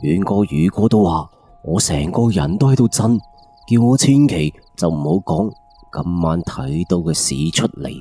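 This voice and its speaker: A man's voice: low-pitched, big pitch swings, normal speed, gravelly, mellow, husky, no room sound, faint music behind. A man with a Cantonese accent narrating a first-person ghost story in a colloquial, suspenseful late-night radio style.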